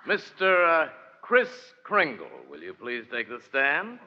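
An actor playing a formal, pointed courtroom prosecutor with declamatory delivery in a 1940s radio drama. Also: male voice, fairly high pitch, huge pitch swings, a little slow. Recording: dull, faintly noisy vintage radio, reverberant.

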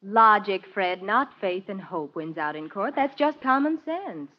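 A woman's voice: medium pitch, animated, a little slow. A woman playing a sensible, sceptical, practical woman in a 1940s radio drama, with a firm, reasoning manner. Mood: firm, exasperated. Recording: muffled vintage radio recording.

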